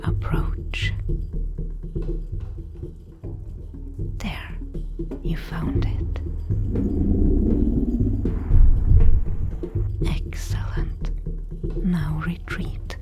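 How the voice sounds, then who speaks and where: A sinister spider-woman played by a woman whispers in a fantasy ASMR roleplay over clear background music. Her breathy delivery is extremely slow and deliberate, patient, coaxing and predatory. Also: sits low, flat delivery. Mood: urging, hushed.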